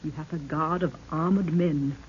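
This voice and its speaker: A woman's voice: low voice, steady tone, normal speed, a little mellow, breathy edge. A woman acting a regal African queen in an old-time radio serial, with archaic, declamatory, solemn speech. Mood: grave, foreboding. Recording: muffled, noisy old radio recording.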